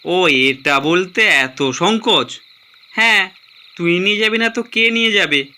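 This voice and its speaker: A man voicing a grumbling but generous young friend in an animated story, blunt and teasing. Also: sits high, unhurried, smooth, exaggerated voice. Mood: generous, bossy. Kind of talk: animated horror story dialogue.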